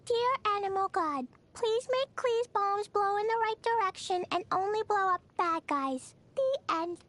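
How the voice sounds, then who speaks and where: A woman's voice: very high voice, lively intonation, a little slow, a bit bright, exaggerated voice. A woman voicing an eager, mischievous little girl knight with a childlike, cutesy manner in a video game. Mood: earnest. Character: hyperactive, mischievous little girl knight who loves bombs. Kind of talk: video game character voice lines.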